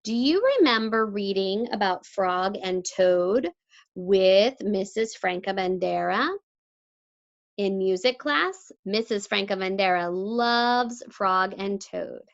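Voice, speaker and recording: A woman teacher reading a storybook aloud to young children with expressive, deliberate articulation, in a slightly dull lo-fi recording. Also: medium pitch. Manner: deliberate articulation, asks listeners questions.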